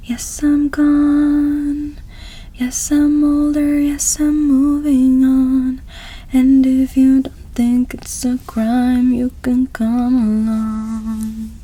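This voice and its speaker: Woman softly singing and whispering, very slowly. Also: sits high. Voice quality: soft, breathy, often whispered. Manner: slow, drawn-out, gentle delivery. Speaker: ASMR performer. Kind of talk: ASMR soft singing and whispering of songs.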